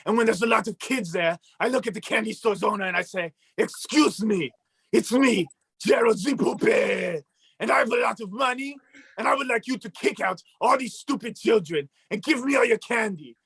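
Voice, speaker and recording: A man voicing a boastful mustached male puppet who shouts and brags like a cartoon villain, with a gravelly, husky voice. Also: high-pitched, expressive, moderate pace, no echo, heavily acted. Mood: bragging. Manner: shouting, bragging, mock-villainous. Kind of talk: comedic puppet bit on a talk show.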